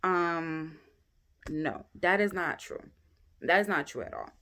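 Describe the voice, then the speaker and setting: A young woman talking candidly to camera in a casual vlog, with frequent "um" pauses and a pace that keeps shifting between quick and drawn out. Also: low-pitched, expressive, pushed and bright. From United States. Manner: candid and chatty, many "um" pauses, speeds up and slows down. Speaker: vlogger.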